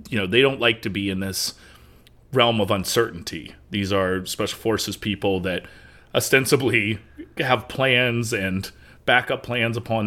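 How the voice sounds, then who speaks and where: A man's voice: lowish voice, a bit bright. A man co-hosting a podcast, chatty and analytical, joking while he discusses a movie. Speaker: podcast co-host.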